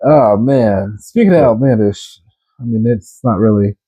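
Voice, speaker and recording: A man co-hosting a comedy podcast, joking crudely and laughing loudly as he riffs. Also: lowish voice, measured pace, breathy edge, no echo, poor audio. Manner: loud laughing, crude joking, acts out quotes in put-on voices. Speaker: podcast co-host. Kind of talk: casual comedic podcast banter.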